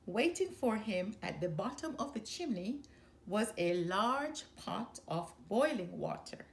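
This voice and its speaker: Slow, animated woman storyteller. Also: medium pitch, vocal fry. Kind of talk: expressive read-aloud children's story narration.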